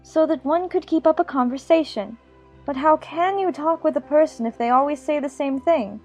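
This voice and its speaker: A woman's voice: high-pitched, normal speed, a little mellow, soft background music, close to an everyday voice. A woman playing a chatty, imaginative little girl in an audiobook, fond and bossy, prattling on in playful scolding. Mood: earnest complaining. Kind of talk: dramatized audiobook character acting.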